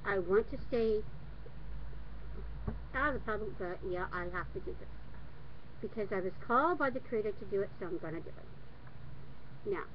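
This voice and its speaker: A woman's voice: medium pitch, expressive, normal speed, pauses often. A woman speaking earnestly and preachily to a webcam, with many restarts and fillers, and nervous about being on camera. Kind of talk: unscripted religious monologue to webcam. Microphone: webcam mic. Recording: dull lo-fi webcam audio with faint hiss.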